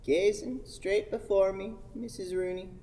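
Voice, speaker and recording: A woman playing a stiff, grumbling old gentleman motorist in a radio play, with a dry, long-suffering manner. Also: medium pitch, fairly even intonation, a little slow, lightly acted. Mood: dry, gloomy. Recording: reverberant hall, faint noise.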